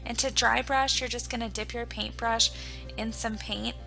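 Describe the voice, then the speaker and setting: A woman hosting her own home decor channel, her voice raspy from a recent illness, walking viewers through each craft step in a chatty, plain way. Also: on the high side, steady tone, normal speed, pushed and bright, soft background music. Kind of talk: casual step-by-step craft tutorial.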